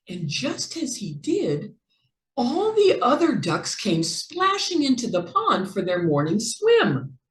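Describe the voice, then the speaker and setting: Slow, highly animated woman storyteller. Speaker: storybook reader. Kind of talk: expressive children's read-aloud narration. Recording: echoey room.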